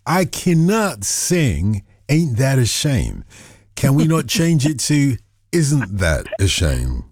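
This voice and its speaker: A man's voice: medium pitch, huge pitch swings, slow pace, a little mellow, breathy edge, no room sound. A man hosting a late-night radio music show, chatting casually with a caller in a playful, wordy, teasing way.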